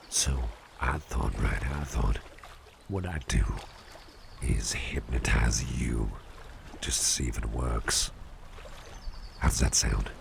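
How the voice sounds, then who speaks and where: A man playing a calm, caring, playful businessman boss in a slow, intimate ASMR roleplay, speaking in a soothing, gravelly, very deep murmur. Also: background noise, heavily acted. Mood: confiding. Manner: soothing, unhurried, repeats short phrases.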